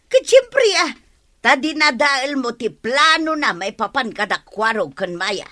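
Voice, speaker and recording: An actress with an Ilocano accent playing a nagging, exasperated wife who scolds in a radio drama. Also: female voice, high-pitched, very animated, a little slow, sharp tone, no echo, exaggerated voice. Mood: scolding.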